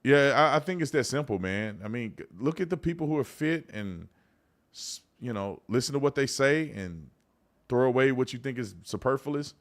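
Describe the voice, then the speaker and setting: A man's voice: medium pitch, animated, normal speed. A middle-aged man hosting a live commentary show, chatting casually and colloquially to viewers and reading out their messages. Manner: conversational, colloquial, addressing viewers directly.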